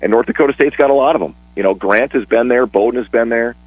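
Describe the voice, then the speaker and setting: Husky-voiced man talking sports on radio. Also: mid-range voice, fast talker, dry recording, poor audio. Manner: chatty, restarts and fillers, laughs easily. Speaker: sports broadcaster guest. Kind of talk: casual radio sports chat.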